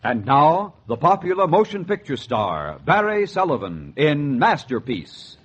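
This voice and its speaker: A man's voice: a little high, big pitch swings, measured pace, breathy edge. A man announcing a 1940s radio series in a portentous, theatrical style. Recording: noisy, muffled 1940s radio recording.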